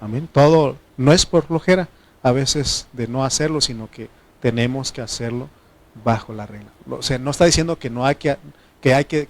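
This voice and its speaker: Middle-aged Mexican man preaching folksy. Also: mid-range voice. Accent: Mexican Spanish. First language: Triqui. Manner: folksy, joking, asks the congregation for responses. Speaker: pastor. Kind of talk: sermon.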